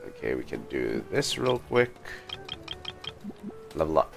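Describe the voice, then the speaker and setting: Slow, low-voiced man streaming a game. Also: big pitch swings, vocal fry. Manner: musing aloud, hesitant, halting. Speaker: livestream host playing a game. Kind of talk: casual gaming livestream commentary. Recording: game music behind the voice. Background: video game music.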